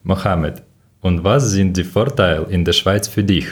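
A man from Ukraine hosts a casual podcast in learner German, steering the chat with questions. His voice is very low and he pauses now and then to find words. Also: slightly rough. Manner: leads the talk, asks questions, some hesitations. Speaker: podcast host.

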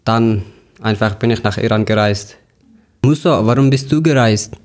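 A man's voice: lowish voice, normal speed, darkish tone. A young man from Afghanistan hosting a podcast interview, talking hesitantly with many fillers and restarts. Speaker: podcast host.

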